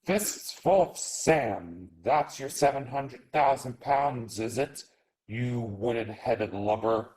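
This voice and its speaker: A man's voice: mid-range voice, lively intonation, slow, darkish tone. A man playing an angry pirate mutineer in a radio play, bitterly sarcastic. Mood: scornful.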